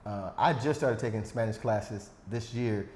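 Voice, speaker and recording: A man's voice: fairly low pitch, unhurried, a little mellow, a little hiss or hum. A man hosting a podcast, chatting casually in an easygoing way with joking, self-deprecating asides. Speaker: podcast host. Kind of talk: casual interview chat. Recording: echoey room.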